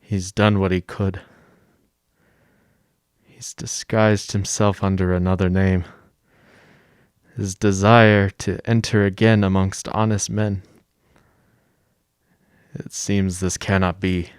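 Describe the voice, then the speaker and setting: An actor playing a dignified mayor with a convict past in a radio drama, solemn, deliberate and oratorical. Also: male voice, low voice, flat delivery, smooth, dry recording, studio quality. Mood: solemn, grave.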